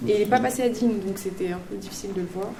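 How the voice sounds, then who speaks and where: A teenage girl chatting excitedly with friends. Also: medium pitch, rapid-fire, background noise. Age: teens. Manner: excitable, gushing. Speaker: student. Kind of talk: casual group chat. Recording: echoey room, distant mic, crowd chatter.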